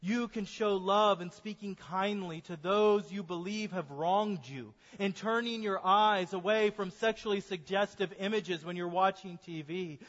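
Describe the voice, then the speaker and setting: A man preaching a sermon as a pastor, speaking earnestly and slowing down to stress key points. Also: high voice, a bit bright. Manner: earnest preaching, slows for emphasis. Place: church sanctuary. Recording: dull sermon recording in a reverberant church.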